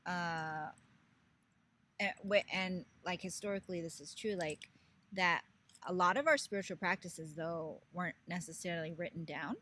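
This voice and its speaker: A woman co-hosting a casual book club podcast, relaxed and affirming, speaking bluntly and repeating her points. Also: on the low side, moderate pace.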